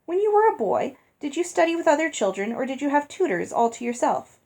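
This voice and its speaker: A woman's voice: high voice, sing-song, a little fast, a little mellow, voice pushed up. A woman reading the storybook letter of a polite, curious young girl. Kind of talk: storybook letter read aloud.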